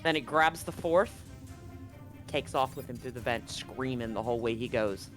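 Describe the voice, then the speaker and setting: A man working as game master, improvising narration for a tabletop roleplaying game in a halting, deliberate way. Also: medium pitch, a bit bright, faint music behind. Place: live-streamed game session.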